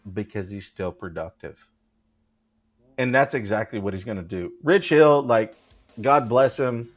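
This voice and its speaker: A man in his thirties, a former pitcher on a sports panel, talking casually in a blunt, repetitive way. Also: expressive, measured pace, soft background music. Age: 30s.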